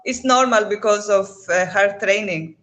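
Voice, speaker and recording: A woman's voice: medium pitch, a bit bright. Woman guest on video call, English, hesitant phrasing, casual interview. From Greece. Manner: halting, simple English with pauses. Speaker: guest fighter.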